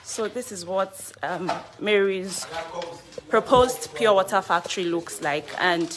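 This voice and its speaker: A woman reporter briskly interviewing and prompting on a live walkthrough. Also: medium pitch, noisy background. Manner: brisk, prompting, many okays. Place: outdoor compound, then indoor rooms.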